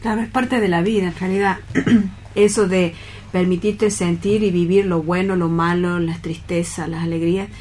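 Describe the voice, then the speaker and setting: A woman with an Argentine accent talking earnestly and haltingly in a reflective radio discussion. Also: lowish voice, fairly even intonation, a little mellow, slight background noise. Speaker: panelist on a radio theatre programme.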